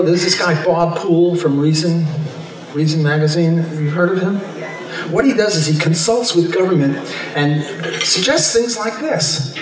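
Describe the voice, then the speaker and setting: A man's voice: high-pitched, far from the mic. An older man, an economist, giving an informal, chatty convention talk on a handheld mic, with wry, self-amused asides. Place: large hotel ballroom stage. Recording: echoey ballroom from the camera.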